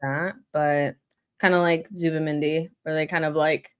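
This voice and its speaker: A woman's voice: sits low, level tone, moderate pace, clean tone. A woman hosting a casual football chat, blunt and pessimistic. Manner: blunt, pessimistic fan venting. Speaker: host.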